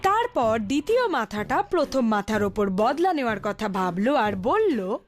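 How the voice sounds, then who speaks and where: A woman's voice: on the high side, big pitch swings, moderate pace. A woman narrating a scripted children's story. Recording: faint music behind the voice.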